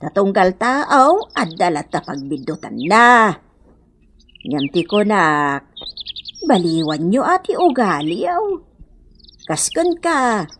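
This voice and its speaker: A woman's voice: mid-range voice, fairly bright tone, a little hiss or hum. An actress playing a concerned, reproachful village mother in a radio comedy-drama, with an Ilocano accent and an admonishing delivery.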